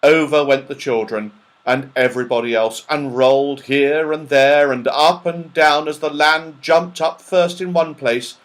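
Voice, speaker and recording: A man narrating a children's storybook in an expressive, dramatic, animated way. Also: on the high side, a little slow, few pauses. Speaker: audiobook narrator.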